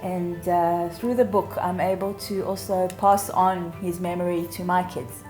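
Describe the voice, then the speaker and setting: A woman in her fifties giving a reflective, halting and earnest personal account in a documentary interview. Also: lowish voice, fairly even intonation, darkish tone. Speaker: interviewee, bereaved daughter. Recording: music underneath. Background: music.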